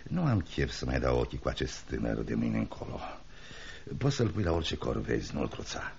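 Cold, scheming uncle, gravelly rumbling bass. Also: male voice, very animated, a little mellow, exaggerated voice. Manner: cynical, curt, commanding. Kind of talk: radio drama acting. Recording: muffled, noisy old radio recording.

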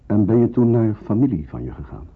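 A kindly pastor in a radio drama, his voice low and very husky. He speaks gravely and soothingly, like a father. The old recording sounds thin and phone-like. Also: sing-song. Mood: grave, sympathetic. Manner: measured, solemn, reassuring. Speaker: actor in a radio play. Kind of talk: Dutch radio drama acting.

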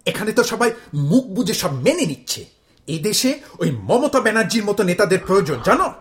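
A man acts out a pompous Bengali husband from a comic play, blustering and self-dramatizing. His voice sits high for a man and swings widely, declaiming like a speechmaker. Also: big pitch swings, fairly quick. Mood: fiery, rousing. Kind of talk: comic stage-play acting.

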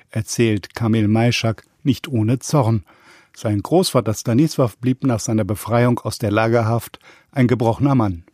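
A male radio reporter reading a scripted portrait narration in a composed, even manner. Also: medium pitch, dry recording, clean studio sound.

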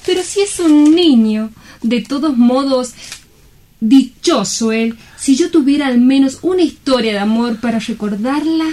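An actress plays a sentimental woman in a radio drama. Her voice is high-pitched. She sounds pitying and wistful, with a theatrical, romantic longing. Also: lively intonation, a little slow, dark tone.

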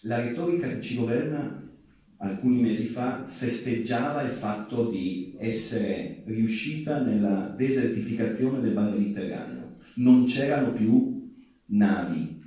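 Slow, mellow man speaking in echoey theatre. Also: on the low side. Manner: deliberate, in short broken phrases with pauses. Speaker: ship owner and activist speaker. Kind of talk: public speech to an audience. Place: theatre stage. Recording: very echoey theatre hall, distant mic.

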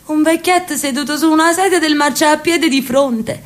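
An actress playing an elderly sister from Naples in a radio drama, telling a street story with lively, affectionate chatter. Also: female voice, sits high, normal speed, natural voice. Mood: storytelling. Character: elderly Neapolitan sister, talkative, loves her city.

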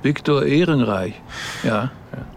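A man playing a thoughtful, discreet rabbi in a radio drama, reserved and conversational as he quietly probes. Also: medium pitch, lively intonation, a little slow, vocal fry, a little hiss or hum. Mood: reserved, probing.

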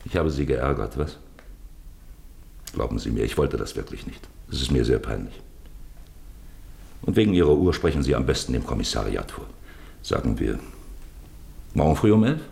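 An actor in a radio play as a sly, probing police investigator who wheedles with feigned courtesy. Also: male voice, rumbling low, sing-song, moderate pace, gravelly, long pauses, darkish tone, full character voice. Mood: mock apologetic. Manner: wheedling, insinuating, falsely apologetic. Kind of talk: German radio play acting.

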